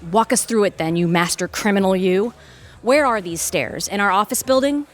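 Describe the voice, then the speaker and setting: A woman's voice: mid-range voice, normal speed, close to an everyday voice. A woman playing an arrogant, calculating lawyer in an audio drama, articulate, condescending and self-assured. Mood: condescending, coolly amused. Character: arrogant, calculating Harvard-trained female lawyer.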